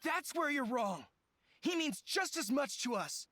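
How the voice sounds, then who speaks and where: A woman's voice: high-pitched, big pitch swings, measured pace, fairly bright tone, dry recording, exaggerated voice. A woman voicing a fiery, loyal young male resistance general in a video game, defiant and impassioned.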